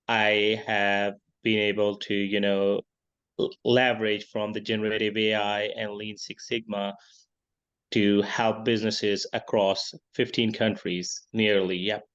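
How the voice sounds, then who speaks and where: Low-voiced man from Pakistan, lo-fi call. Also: clean tone. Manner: steady, uses "you know" often. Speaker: guest, tech and business consultant. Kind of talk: conversational interview answers. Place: village in northern Pakistan.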